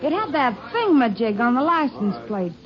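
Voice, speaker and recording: A chatty roadside cafe woman with a high, folksy drawl. It sounds like a muffled old radio drama. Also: sing-song, moderate pace, crowd noise. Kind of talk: 1950s radio drama acting. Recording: dull old radio broadcast.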